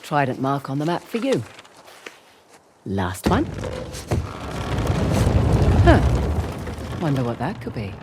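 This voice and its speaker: Adventurous female treasure hunter: breezy, teasing, animated delivery, video game scene with clear background noise. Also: extremely low pitch, slow, pauses often, full character voice. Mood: wry, focused. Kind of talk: video game cutscene acting.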